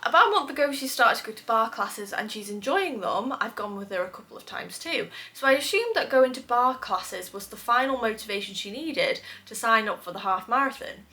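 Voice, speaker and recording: Chatty woman host, nasal with a cold, fairly high, very bright tone, laughs often. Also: expressive, fairly quick, few pauses. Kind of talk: casual chat reading posts aloud.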